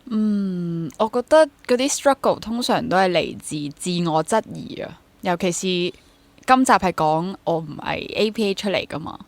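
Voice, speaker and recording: A woman's voice: on the low side, animated, moderate pace, no echo. A woman in her twenties with a Hong Kong accent, talking casually and reflectively as an interview guest, dropping English words into her speech. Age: 20s. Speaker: interview guest, freelance stage actor and drama teacher.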